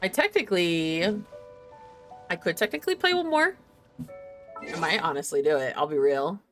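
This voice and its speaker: Animated woman livestreamer chatting casually. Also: lowish voice, normal speed, faint music behind. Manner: giggly, playful, chatting to herself and viewers. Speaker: game streamer, host. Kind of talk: casual livestream chat with viewers.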